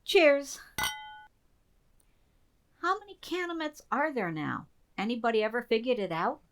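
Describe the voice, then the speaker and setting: Lady playing an earnest code breaker assistant in a radio play, chatty, voice a bit high. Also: very animated, a little slow, a little hiss or hum. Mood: musing. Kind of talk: community theater radio drama dialogue.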